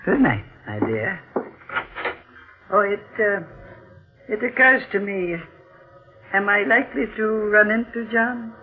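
An actor playing a sly, unctuous older uncle with a hesitant, insinuating drawl in an old-time radio mystery. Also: male voice, high voice, lively intonation, slow, long pauses. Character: sly older uncle turned blackmailer, unctuous then terrified. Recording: narrow-band vintage 1940s radio recording.

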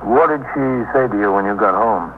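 A man playing a steady, firm police captain in a 1950s radio drama, measured and patient. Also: on the low side, close to an everyday voice. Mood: calm, probing. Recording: tinny, noisy 1950s radio recording.

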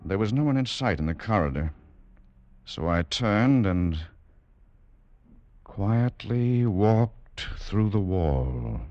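An actor playing a composed, coolly secretive military doctor in an old radio drama. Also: male voice, lowish voice, very animated, slow, darkish tone, muffled recording, slight background noise. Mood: brooding. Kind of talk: 1950s radio drama acting and narration.

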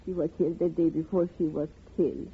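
An actress, speaking halting, sorrowful broken English. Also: female voice, low voice, steady tone, husky, exaggerated voice. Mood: sad, wistful. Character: grieving, weary immigrant mother, listless and resigned. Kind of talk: 1950s radio drama acting. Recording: muffled, tinny old radio recording.

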